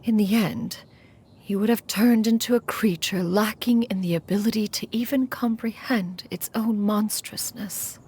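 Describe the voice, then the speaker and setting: A woman's voice: mid-range voice, steady tone, normal speed, darkish tone, breathy edge, natural voice. A woman voicing an aloof, ancient female crane spirit in a game cutscene, speaking in a formal, lofty manner. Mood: solemn. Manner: formal, refers to herself as "one".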